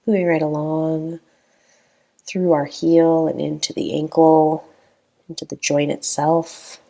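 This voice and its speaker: A woman leading a guided meditation in a calm, gentle, unhurried manner with long pauses between phrases. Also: low-pitched, gravelly.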